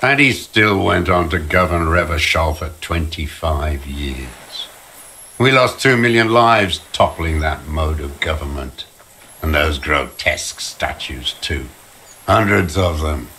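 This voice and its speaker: An actor playing a bitter, weary old communist deserter in a video game, ranting with disdain. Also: male voice, extremely low pitch, expressive, bright, forceful tone, slight background noise, full character voice. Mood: contemptuous. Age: older. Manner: embittered, ranting, slow deliberate delivery. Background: music.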